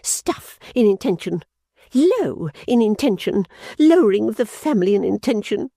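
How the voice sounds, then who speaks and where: A woman reader voicing a haughty, sharp-tongued young woman who scolds her sister in a shrill, indignant tone. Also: big pitch swings, unhurried, husky, no echo, clean studio sound. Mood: scornful.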